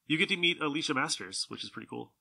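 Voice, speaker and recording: A man's voice: fairly high pitch, animated, fast, vocal fry, bright, forceful tone, breathy edge. A man hosting a podcast in a casual, chatty group conversation. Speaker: podcast host.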